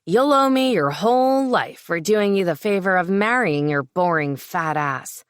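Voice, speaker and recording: A woman voicing a cruel young man who shouts abusive threats at his ex-wife. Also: low voice, fairly even intonation, moderate pace, smooth, no echo, clean studio sound, pitched below the performer's own voice. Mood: contemptuous. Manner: snarling, loud, abusive threats.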